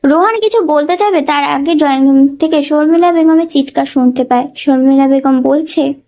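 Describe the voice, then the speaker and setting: A woman's voice: high voice, normal speed, mellow. A woman reading a scripted romantic story as a dramatic narrator.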